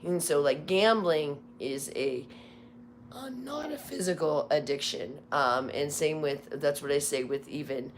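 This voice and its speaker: A woman chatting casually on a livestream, hoarse from losing her voice, rambling with many fillers and restarts. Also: sits low, animated, measured pace, a little hiss or hum. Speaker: livestream host.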